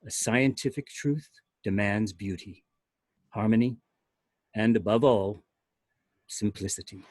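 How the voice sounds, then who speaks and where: A man playing a dying android science officer, cold and calm, speaking in slow, broken phrases at a table read. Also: on the low side, no room sound. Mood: cold, measured. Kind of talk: amateur screenplay table read.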